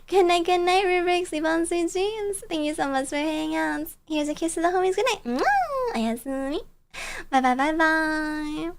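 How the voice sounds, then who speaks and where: A woman's voice: very high-pitched, moderate pace, slight background noise. A woman streamer telling stories casually in a bubbly, playful, cutesy way. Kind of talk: casual storytelling chat on a livestream.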